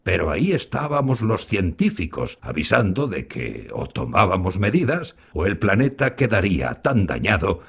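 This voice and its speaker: Kindly elderly grandfather, husky, lively intonation, patient storyteller, audio drama. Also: deep voice, normal speed, few pauses, heavily acted. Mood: patient, explanatory. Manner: patient, explanatory storytelling to a child. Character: kindly old grandfather, retired environmental scientist. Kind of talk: scripted audio drama dialogue.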